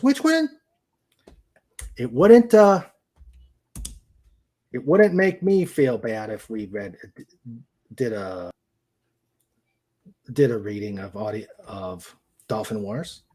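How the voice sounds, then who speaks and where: An older man hosting a casual livestream, greeting viewers in a halting way and often trailing off mid-sentence. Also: medium pitch, warm tone. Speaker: livestream show host.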